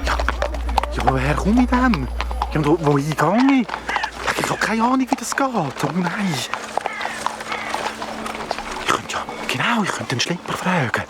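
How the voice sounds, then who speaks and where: Flustered, animated young hero. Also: male voice, sits high, moderate pace, heavy background noise. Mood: anxious. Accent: Swiss German. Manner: stammering, self-talking, flustered. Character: nervous, hesitant young male hero lost in strange world. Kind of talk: Swiss German children's audio drama acting. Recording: loud music and sound effects behind.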